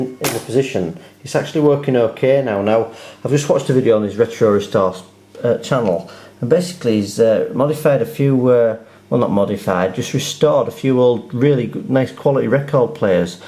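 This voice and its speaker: A man's voice: fairly low pitch, warm tone. A British man giving a casual, unscripted hands-on demonstration, rambling a little and often repeating words as he goes. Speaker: video host showing a repair. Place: indoors at home. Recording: roomy, echoing indoor home recording.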